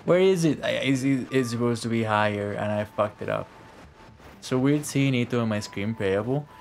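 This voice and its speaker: A man's voice: mid-range voice, normal speed, vocal fry, slight background noise. A man streaming a game with casual, rambling live commentary full of slang and swearing. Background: faint game music.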